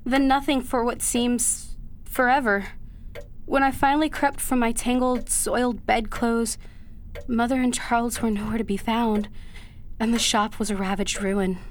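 A woman playing a dutiful, anguished young daughter in a period radio drama, speaking earnestly and haltingly in formal old-fashioned phrases. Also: fairly high pitch, level tone, slight background noise, close to an everyday voice. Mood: sorrowful remembering.

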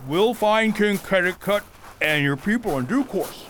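A man's voice: high-pitched, animated, unhurried, fairly bright tone, slight background noise. An actor playing a rotund, lazy, pompous king with florid, blustering phrasing in a radio play. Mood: blustering, smug. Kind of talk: theatrical radio drama acting.

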